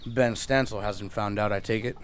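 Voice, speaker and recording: A man playing a steady, measured frontier marshal in a radio Western, laconic and authoritative. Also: on the low side, a little hiss or hum, natural voice. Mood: thoughtful. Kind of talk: old-time radio Western acting.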